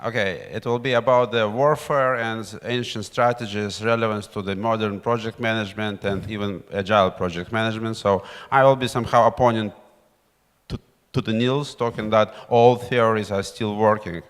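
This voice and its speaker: A man's voice: lowish voice, fairly even intonation, moderate pace, clean tone. A man in his thirties introducing his talk to an audience into a handheld microphone. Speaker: conference speaker. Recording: reverberant hall.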